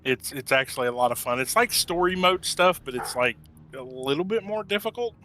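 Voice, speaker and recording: Joking man with bright, higher voice. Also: animated, brisk, slight background noise. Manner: joking, teasing. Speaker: player in a gaming group. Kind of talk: casual gaming banter.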